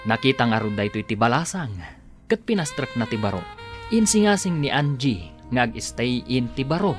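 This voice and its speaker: A man narrating a radio drama as its announcer, with theatrical, emphatic phrasing. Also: medium pitch.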